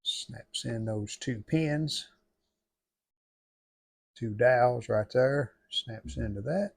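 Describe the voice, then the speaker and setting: A man's voice: mid-range voice, very slow, gravelly, slightly rough. Plain-spoken man narrating a hands-on repair. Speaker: hobbyist restorer demonstrating a repair. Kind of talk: casual hands-on how-to narration.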